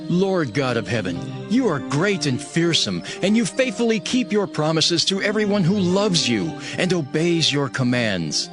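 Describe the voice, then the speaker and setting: A man playing a devout, sorrowful Hebrew cupbearer who earnestly narrates his own story in a dramatized audio Bible. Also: mid-range voice. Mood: pleading, reverent. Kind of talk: dramatized first-person scripture narration. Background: background music.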